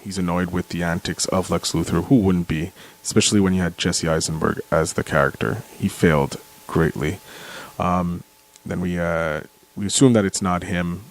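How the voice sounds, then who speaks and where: A man with a very low voice comments on a film as it plays. He talks off the cuff and somewhat haltingly, working through the action step by step. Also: steady tone, low-quality recording. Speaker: commentary contributor.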